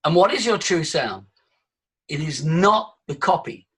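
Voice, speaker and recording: Male voice coach, husky tone, fairly high-pitched, demonstrative and breathy. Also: expressive, unhurried, fairly bright tone. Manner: breathy, demonstrative, leads vocal exercises. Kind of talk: live workshop teaching.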